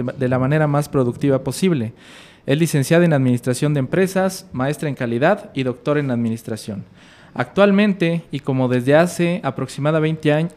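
A man hosts a podcast, with a voice a little higher than most men's. He reads the introduction in a measured, formal manner. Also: darkish tone. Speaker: podcast host. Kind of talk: reading a guest introduction.

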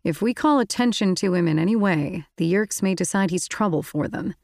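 Woman reading a firm, steady teen boy leader. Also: lowish voice, brisk, no echo, studio quality.

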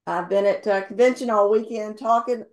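Middle-aged woman, slightly hoarse, earnest rambling motivational team-call speaker. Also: medium pitch, moderate pace. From based in Houston, Texas. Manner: earnest, rambling, with many ums and restarts. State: slightly losing her voice. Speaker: insurance agency owner sharing sales tips.

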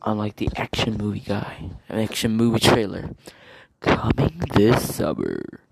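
A man putting on the voice of a dramatic movie trailer announcer, with booming, drawn-out delivery. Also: expressive, creaky, a little hiss or hum, poor audio.

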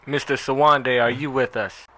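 A man's voice: medium pitch, fairly even intonation, moderate pace, a bit bright, rough recording. A man co-hosting a talk show, giving courteous introductions to guests. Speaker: co-host.

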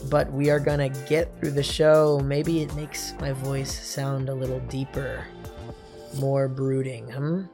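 A young American man hosting a podcast and interviewing in a casual way, his voice a little hoarse. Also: medium pitch, flat delivery, unhurried, over music. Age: young adult. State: slightly hoarse. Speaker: podcast and college radio host. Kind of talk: casual show presenting and interviewing.

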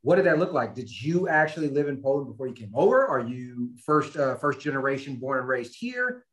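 A man's voice: medium pitch, very animated, brisk, a bit bright. A man co-hosting a podcast in a loose, joking, self-deprecating way. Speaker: podcast co-host. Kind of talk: casual podcast chat.

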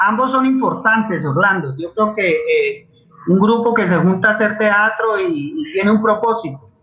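A man's voice: high-pitched, sharp tone. A Colombian man answering in a conversational interview, earnest and explanatory, often repeating words as he thinks. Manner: earnest, explanatory, hesitant repetitions. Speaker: interview guest, playwright and community theater maker. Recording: dull, echoey remote-sounding audio.

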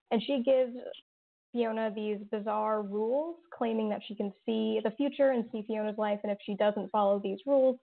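A woman's voice: mid-range voice, gravelly. A woman, a horror writer and interview guest, answering thoughtfully with lots of fillers and restarts. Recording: tinny phone-like line.

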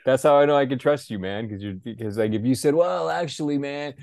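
A man's voice: medium pitch, vocal fry, a little mellow, no echo, clean studio sound. A young man in casual podcast chat, blunt and profane, ranting with lots of fillers. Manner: blunt, profane, fast-shifting rants. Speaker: podcast co-host.

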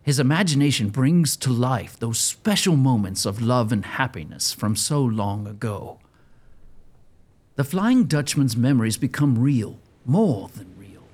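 Animated male dramatic narrator. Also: medium pitch, unhurried. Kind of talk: dramatic scripted story narration.

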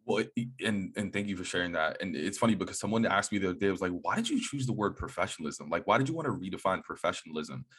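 A man's voice: low voice, expressive, quick pace, hardly any pauses, a bit bright, breathy edge. A man hosting a conversational interview, reflecting on answers and asking questions. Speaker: interview host.

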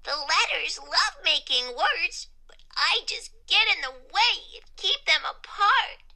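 A woman voicing a timid little male comma in a children's storybook, soft, hesitant and dejected. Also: very high voice, huge pitch swings, extremely slow, voice pushed up, exaggerated voice, poor audio.

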